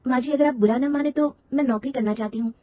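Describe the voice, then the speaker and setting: A woman's voice: high-pitched, fast talker, warm tone, no echo, voice pushed up, natural voice. A woman voicing a hesitant, polite young wife in a cartoon story. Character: shy, clever young wife.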